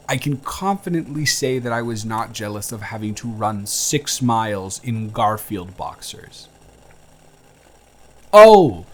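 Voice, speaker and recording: A young man playing a chatty, friendly barista who is sweet on a friend, in an intimate one-sided audio roleplay with casual, slightly stammering delivery. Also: mid-range voice. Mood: bashful, earnest. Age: young adult. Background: music.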